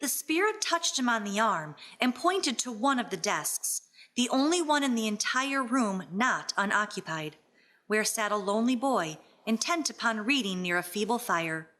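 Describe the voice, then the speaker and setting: A woman's voice: on the high side, big pitch swings, normal speed, a bit bright. A woman reading scripted story narration for a radio play.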